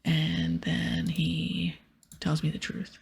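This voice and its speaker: A woman doing whispered ASMR gameplay narration, with soft murmured asides to herself. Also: low-pitched, sing-song, takes it slowly. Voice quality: whispery, breathy. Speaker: game streamer reading quest dialogue.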